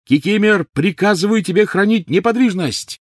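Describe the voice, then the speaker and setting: A man voicing an urgent, commanding teenage boy hero in a storybook reading, with a forceful, demanding manner. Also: medium pitch, normal speed, no room sound, studio quality, pitched above the performer's own voice, lightly acted. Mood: fierce commanding.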